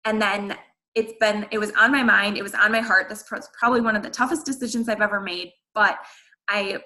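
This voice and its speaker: A woman's voice: mid-range voice, steady tone, brisk, bright, forceful tone. A woman giving an earnest, candid motivational talk to her sales team, encouraging them and using casual fillers. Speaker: guest speaker at a team training.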